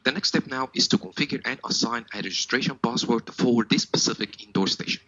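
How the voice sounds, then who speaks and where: A man's voice: medium pitch, brisk, sharp tone, dry recording, highs cut off. A man working as a technical trainer, giving a step-by-step product tutorial in a methodical, instructional manner.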